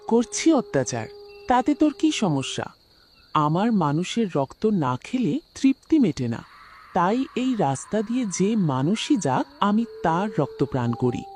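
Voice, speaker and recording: A man voicing a high-pitched bloodthirsty witch. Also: big pitch swings, a little slow, a little mellow, slight background noise. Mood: defiant, menacing. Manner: brazen, threatening, scheming. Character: bloodthirsty scheming witch haunting a coconut tree, menacing. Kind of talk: Bengali horror cartoon voice acting.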